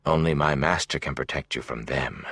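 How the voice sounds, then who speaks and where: A man voicing a sleek, self-important, menacing servant of a dark lord in an audiobook, drawing out words to coax and command. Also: rumbling low, husky, no echo, studio quality, pitched below the performer's own voice, heavily acted. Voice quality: sleek, thick. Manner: drawn-out, coaxing then commanding. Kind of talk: audiobook villain dialogue.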